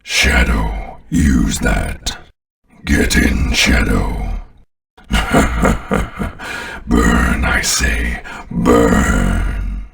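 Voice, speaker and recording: A sinister alien overlord for a video game, his voice bottomless and full of gravel. He speaks with slow, arrogant menace, as if every listener were a lesser servant. The sound sits back from the microphone. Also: fairly bright tone, recorded from a distance, full character voice. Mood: commanding, then gloating menace. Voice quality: very deep, gravelly. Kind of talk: video game villain voice lines.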